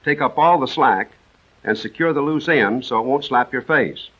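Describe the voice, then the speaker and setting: An American man narrating a 1940s military training film in a measured, precise, instructional manner. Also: mid-range voice, a bit bright. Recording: dull vintage 1940s film soundtrack.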